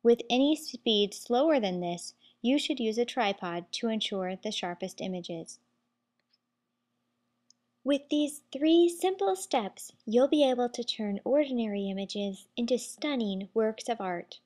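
A woman narrating a scripted photography tutorial, giving clear step-by-step instructions. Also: a little high, animated, measured pace, a little mellow.

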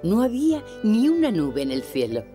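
A woman with a Castilian Spanish accent narrating a children's cartoon like a gentle storybook reader. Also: medium pitch, huge pitch swings, normal speed, music in the background. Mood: storytelling.

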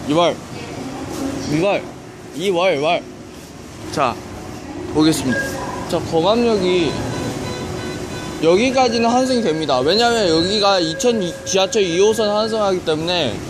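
A man giving a casual, off-the-cuff running commentary on a station tour, halting and repeating himself. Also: high-pitched. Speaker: rail enthusiast filming a video. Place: on a train and in a railway station. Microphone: handheld camera or phone. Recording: loud train and station noise. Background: trains, station ambience.

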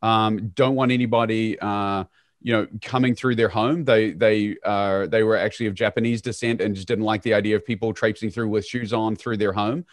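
A fairly low-voiced man, speaking as an interview guest. He talks in a relaxed, easygoing way, with plenty of "um"s. His voice comes through a close studio mic and sounds dry, with no room echo. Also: normal speed. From New Zealand. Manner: relaxed, frequent "um" fillers, self-deprecating. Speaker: guest, real estate CEO. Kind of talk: conversational storytelling interview. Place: small home office, indoors. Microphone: studio mic on boom arm, video call.

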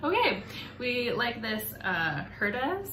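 A woman's voice: fairly high pitch, very animated, slow. A woman in her thirties chatting casually as host of a family vlog at home. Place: indoor room at home. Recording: echoey room with clear background noise.

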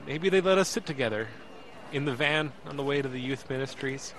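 An actor playing an anxious, emotional young man who narrates his ordeal in an audio drama, intense and halting. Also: medium pitch, fairly bright tone, a little hiss or hum, close to an everyday voice. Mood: resigned, tense. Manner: intense, halting, repeats words.

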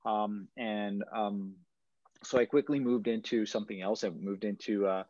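A man in his thirties from Brazil presents over a video call, his voice sitting low in pitch. The sound is dry and muffled, like plain webcam audio. He hesitates often, filling gaps with ums and restarting phrases. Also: fairly even intonation, normal speed, a little mellow, no room sound. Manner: hesitant, many fillers and restarts. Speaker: startup founder. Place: indoors at home, stone wall behind.

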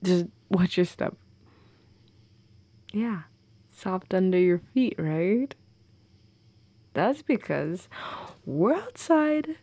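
A woman playing a playful, affectionate goth girlfriend in an intimate audio roleplay, teasing and tender, with soft breathiness, close on the mic. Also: lowish voice, expressive, very slow, pauses often, no echo, exaggerated voice. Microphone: close, dry microphone.

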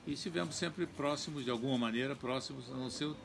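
An older man reminiscing haltingly about an old friend, seated outdoors. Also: medium pitch, vocal fry, noisy background. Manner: halting, repeating words as he recalls. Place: outdoor garden.

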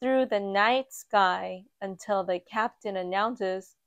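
A woman reading a children's picture book aloud in a gentle, expressive storytime voice. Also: mid-range voice, dry recording, lo-fi sound. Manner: slow, gentle and expressive, lifting for quoted lines. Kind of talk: read-aloud storytime narration. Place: indoors at a table, book filmed from above.

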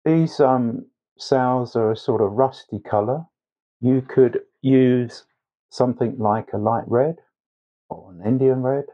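A man narrating a painting tutorial step by step as a tutor, unhurried and with hesitant pauses. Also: mid-range voice, warm tone, lo-fi sound. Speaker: watercolour tutor.

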